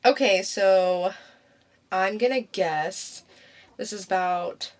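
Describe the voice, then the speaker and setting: A woman's voice: mid-range voice, takes it slowly. A woman co-hosting a casual podcast who talks in an easygoing, musing way and often laughs. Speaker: podcast co-host.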